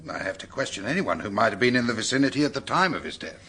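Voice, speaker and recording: An actor playing a brisk, courteous British police superintendent in a radio drama, formal and businesslike in his questioning. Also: mid-range voice, sing-song, fairly bright tone, breathy edge.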